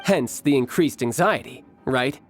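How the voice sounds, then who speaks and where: A man's voice: mid-range voice, lively intonation, measured pace, natural voice. A man playing a cocky, playful young warrior in a video game, talking in a breezy, self-assured, articulate way. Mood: shrewd, probing. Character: cocky young male warrior, playful, battle-hungry, shrewd. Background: music.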